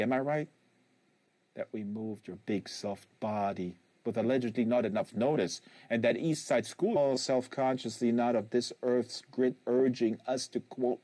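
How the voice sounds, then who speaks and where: Bitter father, played by a male reader, goading and repetitive literary monologue, halting delivery, dark relaxed voice, dry recording. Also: medium pitch, slightly rough, no echo, natural voice. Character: bitter, needling, rambling father berating his tearful son. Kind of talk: dramatic novel reading, monologue.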